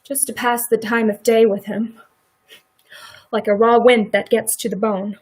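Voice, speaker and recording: Woman acting a husky, regretful farmer's wife. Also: a little high, flat delivery, unhurried, a little mellow. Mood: rueful. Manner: rueful, folksy rural speech. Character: farmer's wife Mrs. Hale, regretful, blunt, protective neighbour. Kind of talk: live stage radio play acting.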